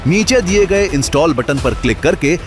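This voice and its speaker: A man's voice: on the high side, animated, normal speed. A man narrating a scripted drama story in a dramatic storytelling style. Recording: loud music bed under voice. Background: dramatic music.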